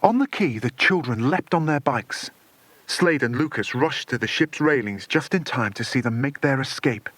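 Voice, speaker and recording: A man reading a children's adventure story as a dramatic, expressive audiobook narrator. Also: mid-range voice, moderate pace, no echo.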